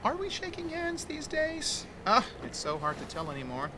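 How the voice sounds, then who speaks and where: A man's voice: very high-pitched, huge pitch swings, moderate pace, noisy background, heavily acted. An actor playing a campy, theatrical middle-aged male ghost hunter in an audio drama, grandiloquent and airy. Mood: chatty, airy. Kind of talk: audio screenplay acting.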